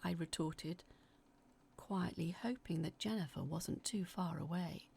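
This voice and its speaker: A woman acting as an anxious narrator, telling a scripted first-person horror story in a confiding, uneasy, dramatic way with a husky, creaky voice. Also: lowish voice, measured pace, a little mellow, heavily acted. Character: anxious woman reading out her frightened letters about sinister events.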